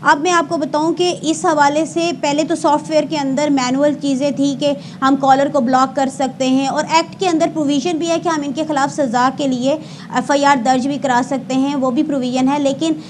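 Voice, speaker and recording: A woman's voice: high voice, flat delivery, moderate pace, slight background noise. A woman with a Pakistani accent speaking as a service spokesperson, giving formal, explanatory interview answers with some hesitation and self-correction. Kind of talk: explanatory interview answers with statistics, Urdu mixed with English.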